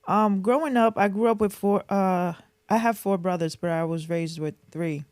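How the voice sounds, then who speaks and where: A woman's voice: medium pitch, normal speed, a little mellow, no room sound. A middle-aged woman from the Boston area talks in a mid-range voice with very little room sound. She reminisces casually and candidly, repeating phrases to press her point. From Boston area, Massachusetts. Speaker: interview guest, mother.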